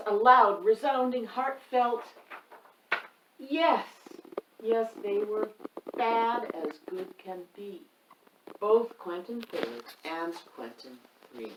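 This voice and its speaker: A woman reading a rhyming picture book aloud, with a lively, sing-song delivery and asides to the listener. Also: medium pitch, noisy background. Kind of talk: expressive read-aloud storytime. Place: home room. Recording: roomy echo, mic at a distance.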